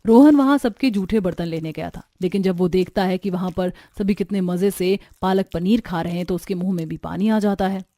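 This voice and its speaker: A woman's voice: lowish voice, a little fast, few pauses, mellow, no echo. A woman narrator reading a scripted family story for a cartoon.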